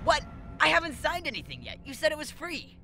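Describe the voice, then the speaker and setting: An actor playing a naive, awkward, excitable teenage boy in a parody anime dub. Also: high voice, brisk, vocal fry, soft background music, heavily acted. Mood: indignant.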